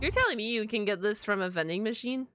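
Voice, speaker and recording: Animated woman streamer chatting casually. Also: mid-range voice, fast talker, slight background noise. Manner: excitable, exclamatory, slangy and sweary. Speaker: streamer reacting to a video. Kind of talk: casual livestream reaction chat.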